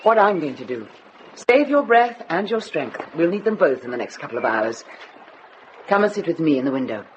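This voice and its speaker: An actress playing a commanding older nun in a radio drama, brisk and dryly wry, kindly underneath. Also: female voice, deep voice, big pitch swings, muffled recording. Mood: wry, steady. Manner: brisk, dry, authoritative. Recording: noisy ambience behind dialogue.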